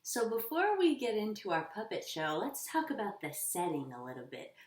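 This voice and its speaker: A woman teaching children, instructive and encouraging, posing questions and pausing for answers. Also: medium pitch, huge pitch swings. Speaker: elementary school teacher. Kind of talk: children's lesson with questions and pauses. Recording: roomy echo, slightly distant mic.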